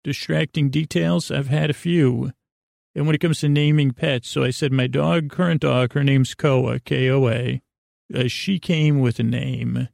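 A man hosting a bedtime podcast in a halting, digressive monologue, goofy and self-deprecating, with a creaky voice. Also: medium pitch, steady tone, a little mellow, no echo, clean studio sound. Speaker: podcast host.

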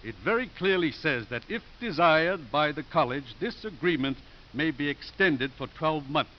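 An actor playing a wry, measured priest who runs a college, in 1950s radio drama style. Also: male voice, a little high, expressive, close to an everyday voice. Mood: dry, wry. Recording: dull, hissy 1950s radio recording.

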